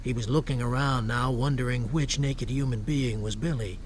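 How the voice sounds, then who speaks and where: A man reads scripted literary narration for a radio play. His delivery is terse and matter-of-fact at a medium pitch, with faint ambience behind him. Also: steady tone, moderate pace, a little hiss or hum.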